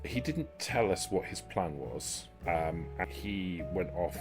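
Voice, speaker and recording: Man playing a deep-voiced, formal vampire schemer. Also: lively intonation, music in the background. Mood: grave, explaining. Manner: formal, deliberate, halting. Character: shrewd, self-serving vampire negotiator, formal and measured. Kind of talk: in-character tabletop roleplay dialogue.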